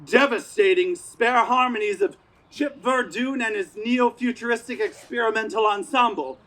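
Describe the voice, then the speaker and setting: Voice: mid-range voice, normal speed, fairly bright tone, natural voice, poor audio. An actor playing a pompous, tyrannical radio playwright in a stage comedy, declaiming in a grandiose, imperious way. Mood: solemn announcing. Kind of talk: community theater stage comedy acting. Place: outdoor stage in a parking lot.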